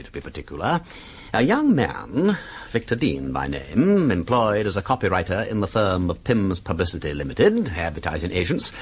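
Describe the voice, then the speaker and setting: An actor playing a suave, breezy aristocratic gentleman sleuth in a radio drama, with an upper-class English accent and a jaunty, mock-formal, theatrical delivery. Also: lowish voice, slightly rough, exaggerated voice. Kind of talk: BBC radio drama acting.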